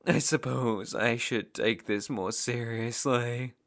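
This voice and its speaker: Man acting a slow, gravelly British obsessive ex-lover. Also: mid-range voice, fairly bright tone. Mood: mocking, sadistic. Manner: slow, deliberate, mock-tender menace. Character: obsessive, menacing male ex-lover, possessive and mocking. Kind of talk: ASMR roleplay audio drama, intimate second-person acting.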